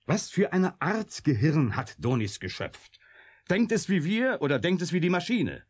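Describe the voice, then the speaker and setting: A man's voice: on the high side, big pitch swings, normal speed. An actor in a German radio drama playing an agitated, bitter male scientist who argues in probing questions. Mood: urgent, questioning. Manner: impassioned, probing rhetorical questions.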